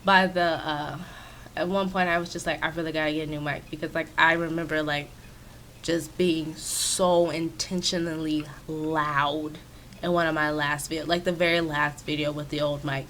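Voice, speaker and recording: A woman with a raspy, stuffy voice, chatting casually and rambling with many fillers, recorded on a sensitive microphone in her home office. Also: low-pitched, little pitch movement, normal speed, a bit bright, slight background noise. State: stuffy from sinus trouble. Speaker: video creator. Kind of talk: casual commentary over a speed-build. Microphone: new sensitive desk microphone.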